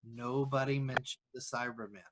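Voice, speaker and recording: A woman's voice: very deep voice, steady tone, normal speed. Woman chatting casually, faintly noisy line. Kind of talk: casual chat. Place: remote group video call.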